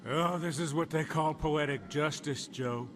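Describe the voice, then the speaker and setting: An actor playing a cruel, smug Nazi officer in a video game, with a mocking, condescending delivery. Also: male voice, a little high, measured pace, noisy background, close to an everyday voice. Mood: smug mockery. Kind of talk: video game villain acting.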